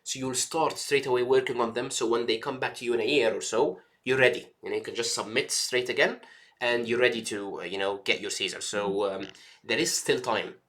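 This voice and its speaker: Man, middle pitch, reassuring mentor, casual advice chat, frequent check-ins. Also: a little fast, fairly bright tone. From Egypt. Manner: reassuring, repeats "yeah" and "okay" checks. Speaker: mentor advising trainee doctors.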